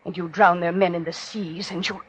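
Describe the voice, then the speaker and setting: A woman's voice: lowish voice, steady tone, normal speed, natural voice. A woman playing a defiant condemned prisoner, solemnly accusing her captor in incantatory, repetitive 1940s radio drama oratory. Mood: defiant, prophetic. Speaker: actor. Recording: dull, noisy old radio recording.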